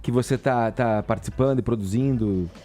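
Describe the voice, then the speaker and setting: Radio host, male, dark mellow tone, easy conversational questions. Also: mid-range voice, fairly quick, slight background noise. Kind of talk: radio interview.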